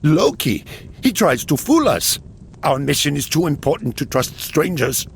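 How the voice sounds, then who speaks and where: Gravelly, swooping mythic Norse warrior. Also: male voice, on the high side, sing-song, measured pace, a little hiss or hum, heavily acted. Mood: suspicious. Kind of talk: dramatic video game cutscene acting.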